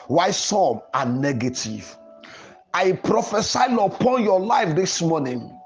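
A man, a pastor, preaching and praying in an emphatic, declamatory way with repeated phrasing. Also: high voice. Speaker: pastor, programme host. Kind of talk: Pentecostal sermon and prayer. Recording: music playing behind the voice. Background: music.

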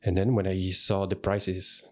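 A man's voice: low voice, flat delivery, measured pace, fairly bright tone. A man hosting a casual interview podcast, asking friendly questions with some fillers. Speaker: podcast host.

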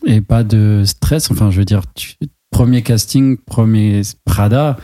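A man interviewing a guest in a casual, relaxed chat, prompting with questions. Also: on the low side, measured pace.

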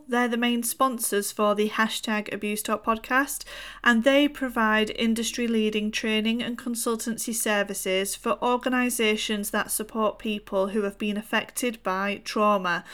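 A woman's voice: on the high side, a bit bright. A woman hosting a podcast, reading a prepared introduction and then chatting with a guest in an earnest way, with some fillers. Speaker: podcast host. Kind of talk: scripted intro then casual interview.

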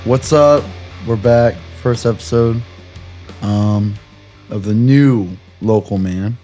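A young man hosting a podcast, hoarse from screaming, talking in a rambling, profane, jokey way. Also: mid-range voice, slow pace, dark tone, soft background music. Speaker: podcast host. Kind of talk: casual chat.

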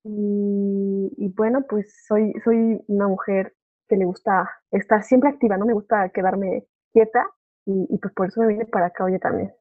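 A woman's voice: medium pitch, fairly even intonation, normal speed. A young woman with a Mexican accent chatting informally as a podcast guest, often correcting herself as she tells her story. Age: young adult. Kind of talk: casual chat, personal story. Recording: narrow phone-like remote call audio.

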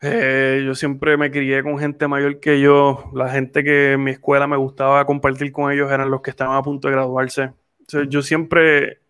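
A man's voice: medium pitch, monotone, moderate pace, fairly bright tone, clean tone. A man in his twenties with a Puerto Rican accent, hosting a podcast in casual, rambling chat. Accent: Puerto Rican Spanish. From Puerto Rico. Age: 20s. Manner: rambling, frequent restarts and fillers. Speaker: podcast host.

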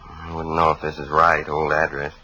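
A man's voice: extremely low pitch, completely flat delivery, moderate pace, bright, forceful tone, heavily acted. A man with an American accent playing an irritable, defensive bar owner in an old radio drama, curt and evasive. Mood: grudging, defensive. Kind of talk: 1950s radio drama acting. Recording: old radio recording, faint noise.

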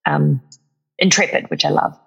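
Chatty New Zealand woman, low husky voice. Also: normal speed, fairly bright tone, muffled recording. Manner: chatty, laughing, lilting upspeak. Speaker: guest. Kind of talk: casual interview chat.

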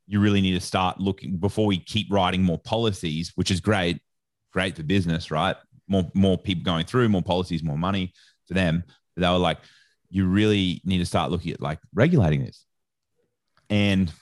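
Deep-voiced, informal Australian man. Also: no room sound, studio quality. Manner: informal, slangy, often quoting others in reported speech. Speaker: interview guest, sports nutrition educator. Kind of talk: casual conversational storytelling.

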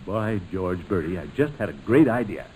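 An actor playing a hearty, pompous middle-aged uncle in 1940s radio comedy, blustery and coaxing. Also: male voice, sits low, sing-song, moderate pace, dark tone. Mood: excited. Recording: noisy old-time radio recording.

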